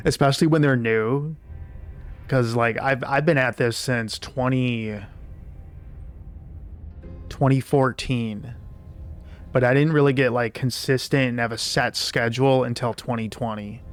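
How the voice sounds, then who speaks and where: A man with a raspy voice streaming a game, chatting in a relaxed, rambling way with frequent restarts. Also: mid-range voice, measured pace, pauses often. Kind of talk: casual gameplay commentary. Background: game music.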